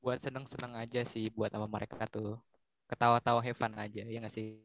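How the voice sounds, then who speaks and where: A man's voice: medium pitch, flat delivery, fairly quick, fairly bright tone. A young adult man taking part in a casual discussion. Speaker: discussion participant. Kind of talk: casual chat.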